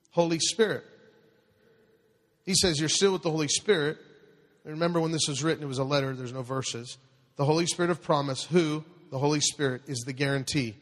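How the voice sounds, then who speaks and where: Animated preacher with hall reverb. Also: male voice, fairly high pitch. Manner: animated, asks the congregation to repeat phrases. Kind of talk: sermon.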